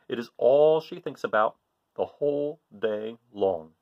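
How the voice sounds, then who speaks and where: A man's voice: mid-range voice, lively intonation, measured pace, narrow, tinny audio. A man reading a children's book aloud in a theatrical, emphatic way, drawing out phrases for suspense. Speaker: storybook reader for children.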